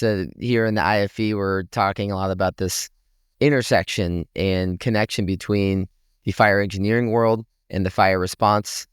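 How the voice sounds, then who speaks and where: A man's voice: on the low side, smooth, no echo, clean studio sound. A man hosting a podcast, interviewing a guest with friendly prompting questions. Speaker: podcast host. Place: conference exhibition booth.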